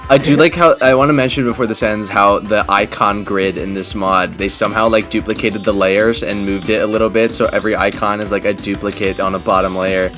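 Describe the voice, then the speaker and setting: A man's voice: on the low side, steady tone, brisk, hardly any pauses. A man hosting a gameplay video in loose, informal, amused chat. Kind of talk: casual chat over gameplay. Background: video game music.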